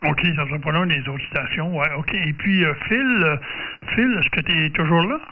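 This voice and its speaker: Higher-pitched man on noisy radio, far off. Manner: halting, repeats himself, clears throat. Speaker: amateur radio operator running a group contact. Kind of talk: casual radio chat, directing callers. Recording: noisy phone-like radio audio, distant, clicks.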